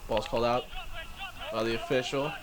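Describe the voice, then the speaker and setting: A man doing play-by-play commentary for a live match, slightly hoarse, excitable and chuckling often. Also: medium pitch, normal speed, noisy background.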